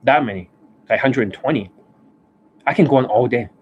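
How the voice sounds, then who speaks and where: A man hosting a live stream as a dating coach. He rants bluntly and swears often, and his voice is worn and hoarse from overuse, with coughs and throat clearing. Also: mid-range voice, moderate pace, highs cut off, slight background noise. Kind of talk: livestream monologue. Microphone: desk microphone.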